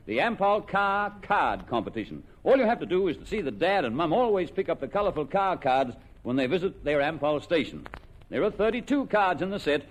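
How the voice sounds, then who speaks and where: Lively Australian radio quiz host, echoey hall. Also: male voice, fairly high pitch. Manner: chatty showman, folksy. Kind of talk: old-time radio quiz show banter and sponsor plugs. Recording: vintage radio recording, echoey hall, background noise.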